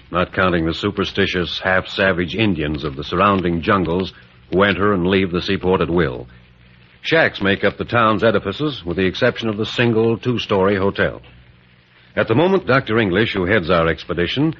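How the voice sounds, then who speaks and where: An actor playing a commanding adventurer captain who narrates in a dramatic, deliberate old-time radio style. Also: male voice, low voice. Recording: dull, noisy vintage radio recording.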